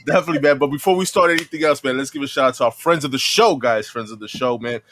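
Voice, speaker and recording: A man with a slightly hoarse voice hosting a live podcast in casual, slangy talk, often tagging sentences with "man.". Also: mid-range voice, racing, fairly bright tone. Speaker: podcast host.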